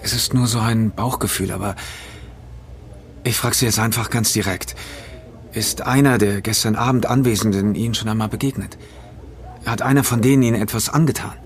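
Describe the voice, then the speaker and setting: An actor playing a vengeful man in an audio thriller, polite on the surface, deliberate and controlled. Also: lowish voice, fairly even intonation, lightly acted. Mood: halting, insistent. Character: vengeful man, polite and halting, coldly menacing. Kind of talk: naturalistic audio drama acting.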